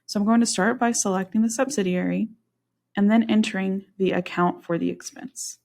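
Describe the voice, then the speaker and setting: A woman narrates a software demonstration in an instructional, step-by-step way. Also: mid-range voice, fairly even intonation, moderate pace, gravelly. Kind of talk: screen-share software tutorial narration.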